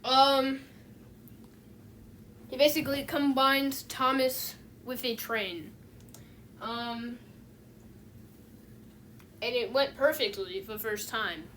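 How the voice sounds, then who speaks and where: Casual female video essayist, higher voice, halting and repetitive delivery, some background noise. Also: a bit bright. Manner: halting, rambling, repeats and restarts phrases.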